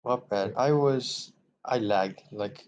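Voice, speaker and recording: A man's voice: lowish voice, slow pace, darkish tone, lo-fi sound. A young man in casual chat, curious and playful, asking lots of questions.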